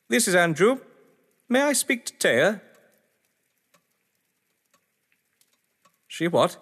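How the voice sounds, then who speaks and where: An actor playing a haughty, condescending gentleman in an audio drama, with a patronising, clipped, theatrical delivery. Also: sits high, slightly rough, exaggerated voice. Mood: anxious. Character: haughty, condescending gentleman crime schemer under threat. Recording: reverberant hall-like room.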